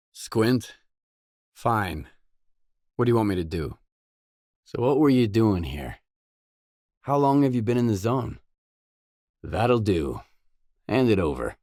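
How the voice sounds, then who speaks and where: Guarded game drifter, low pitch, terse, matter-of-fact, very dry studio-style sound. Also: male voice, no room sound. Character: guarded, terse male drifter searching a dangerous zone. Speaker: video game protagonist. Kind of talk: video game dialogue lines.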